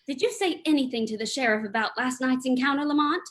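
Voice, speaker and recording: A woman's voice: high voice, fast, fairly bright tone. A woman playing an alert young lady, a detective's loyal companion, earnest and theatrical in a radio drama. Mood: inquisitive. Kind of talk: old-time radio drama acting.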